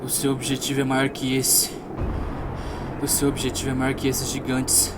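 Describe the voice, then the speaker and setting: A man's voice: medium pitch, completely flat delivery, moderate pace, lightly acted. A Brazilian man playing a solemn, well-travelled wise sage in a tabletop game, exhorting his companions. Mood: solemn urging. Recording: loud music under the voice.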